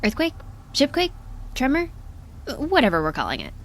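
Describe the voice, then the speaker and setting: A woman playing a brisk, wry crew scientist in a naturalistic audio drama, talking casually in a dry, no-nonsense way. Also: high-pitched, huge pitch swings, exaggerated voice. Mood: brisk, matter-of-fact.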